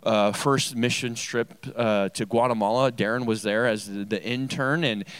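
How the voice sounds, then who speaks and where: A male pastor giving an informal church introduction, speaking earnestly and haltingly and repeating phrases. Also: mid-range voice, fairly even intonation, clean tone, no echo.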